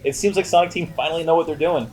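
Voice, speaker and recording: A man's voice: on the high side, fairly even intonation, very fast, a little mellow, soft background music. A man co-hosting a casual movie review, emphatic and opinionated as he banters. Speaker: movie review show co-host.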